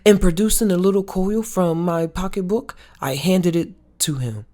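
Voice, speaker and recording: A woman's voice: lowish voice, moderate pace, warm tone. A woman reading a novel aloud as a book club read-along, stumbling now and then over the words.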